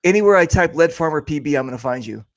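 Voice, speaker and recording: A man's voice: fairly high pitch, expressive, quick pace, dry recording, clean studio sound. A man hosting a casual interview show, teasing his guest and rambling with lots of fillers. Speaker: podcast host. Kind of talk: casual joking interview chat.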